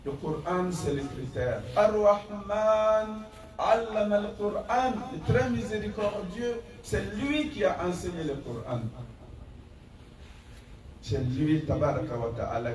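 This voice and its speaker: A man preaching a Friday sermon as imam in a mosque, building to emphatic raised phrases and reciting Arabic verses in quick chanted runs. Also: fairly high pitch. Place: mosque prayer hall. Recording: echoey hall from far off, crowd behind.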